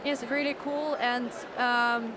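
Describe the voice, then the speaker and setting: Woman, calm, slightly high voice, noisy. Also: slow pace. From Moscow, Russia. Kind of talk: casual interview answers.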